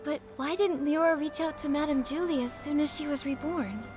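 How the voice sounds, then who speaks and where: A woman voicing an earnest, kind young heroine girl in video game dialogue, gentle and determined. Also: sits high, brisk, natural voice. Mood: sympathetic. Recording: background music under the voice.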